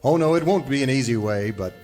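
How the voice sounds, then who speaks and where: A man plays an earnest ranger hero from a spoof radio western. His mid-pitched voice is lively and noble, preaching with calm authority as music plays behind. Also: fast, lightly acted. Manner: high-minded, declamatory, calm authority. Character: earnest, noble male singing-cowboy ranger leader. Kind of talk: melodramatic radio western parody.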